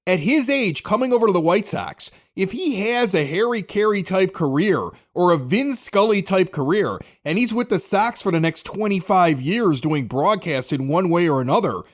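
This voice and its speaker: A man's voice: high voice, animated, normal speed, few pauses. An American man hosting a podcast, talking sports in a casual, chatty, conversational way. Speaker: podcast host, former morning radio host.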